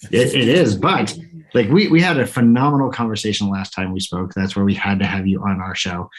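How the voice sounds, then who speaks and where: A man's voice: on the low side, brisk, rough recording. A man co-hosting a podcast, talking in an upbeat, promotional way. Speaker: podcast co-host. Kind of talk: podcast intro and interview.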